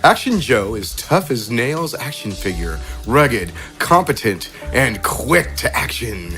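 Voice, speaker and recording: A man with lively, swinging intonation hosts a game in a casual way. He reads out character write-ups and chuckles through his announcements. Music plays behind him. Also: medium pitch, slow pace. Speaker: game host. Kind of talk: casual game introduction with character read-outs.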